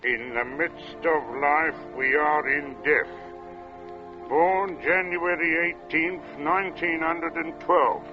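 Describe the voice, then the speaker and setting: A man acting as a heat-worn, chatty working-class gravestone carver in a 1940s-style radio drama, with folksy, unhurried talk. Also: a little high, steady tone, music in the background. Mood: solemn, deliberate. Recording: muffled old radio drama recording.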